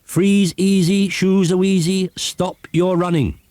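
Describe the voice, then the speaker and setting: A man voicing a brisk, bossy female witch from a children's storybook in a commanding manner on old cassette tape. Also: sits high, flat delivery, pitched above the performer's own voice. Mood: incanting. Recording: hissy 1980s cassette tape.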